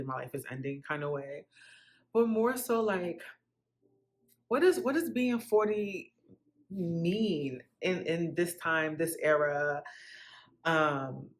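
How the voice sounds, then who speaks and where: A woman in her late thirties chatting candidly and casually to her viewers as a vlogger, reflective and emphatic, often checking in with listeners. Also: sits low. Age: late 30s. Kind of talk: candid casual chat to camera.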